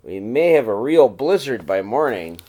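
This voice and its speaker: A man voicing a warm, gently teasing father from a picture book in an easygoing, affectionate manner. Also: mid-range voice, sing-song, normal speed. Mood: fond, easygoing.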